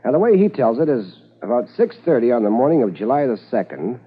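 A man playing a calm, capable police corporal in a 1950s radio drama, with a husky voice. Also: mid-range voice, quick pace. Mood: measured, reporting. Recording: tinny 1950s radio recording.

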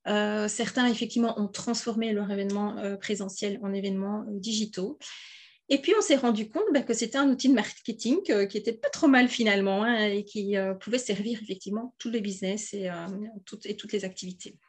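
A woman's voice: medium pitch, steady tone, a little fast, darkish tone. A woman from southern Belgium with a Belgian French accent, a podcast guest talking casually, with many fillers and restarts. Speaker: podcast guest, event organizer. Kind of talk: casual interview talk.

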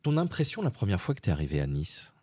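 A man's voice: low voice, huge pitch swings, fast, a little mellow, husky. A man interviewing a guest, asking casual, teasing questions.

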